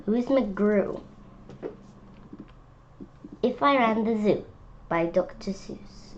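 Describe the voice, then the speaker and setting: Female children's book reader, unhurried and halting with curious asides; lo-fi audio with faint background noise. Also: medium pitch, animated. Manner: halting reading with asides and wondering questions. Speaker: read-aloud presenter. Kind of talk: children's picture book read-aloud with spontaneous comments. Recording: dull lo-fi sound with faint noise.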